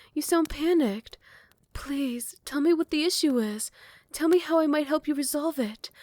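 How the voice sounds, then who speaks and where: A woman's voice: high voice, no room sound, close to an everyday voice. A woman voicing a possessive female vampire queen in an ASMR roleplay, moving from close, tender whispers to furious threats. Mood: pleading. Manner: soft close whispers turning to furious shouting. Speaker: voice actor.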